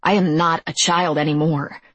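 A woman's voice: deep voice, steady tone, moderate pace, fairly bright tone, slightly rough, no room sound. A woman voicing a guarded, defiant teenage girl with terse, firm, challenging delivery.

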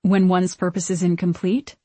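A woman playing a gentle, wistful young ghost of a witch in an audiobook, speaking in earnest, measured, old-fashioned phrases. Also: on the low side, flat delivery, no room sound, close to an everyday voice. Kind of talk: audiobook character acting.

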